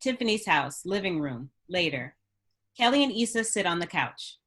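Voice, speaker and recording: A woman's voice: fairly low pitch, sing-song, moderate pace, a bit bright. A woman narrating stage directions from a script in a scripted reading style.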